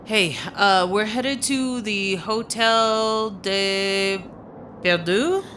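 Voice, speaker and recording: A woman's voice: medium pitch, extremely slow, noisy background. A woman playing a wry, streetwise friend with a laid-back, teasing manner in a radio drama.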